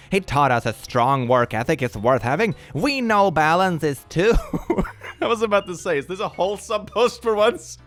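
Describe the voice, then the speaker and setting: A man's voice: on the high side, a bit bright. Swedish guy doing sarcastic reaction commentary, reads posts out dramatically, voice goes all over, bit of music behind. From Sweden. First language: multilingual, not native English. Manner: sarcastic, incredulous, mock-dramatic readings. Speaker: YouTube commentary host. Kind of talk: comedic reaction commentary, reading posts aloud.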